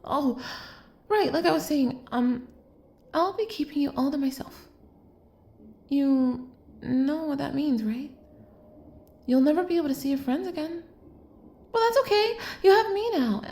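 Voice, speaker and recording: A woman's voice: high-pitched, lively intonation, measured pace, pauses often, roomy sound. A woman playing an obsessive, lovestruck kidnapper in an intimate audio roleplay, doting and possessive, coaxing the listener with hesitant asides. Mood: possessive, awkward. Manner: soft, coaxing, hesitant asides, drops into whispers.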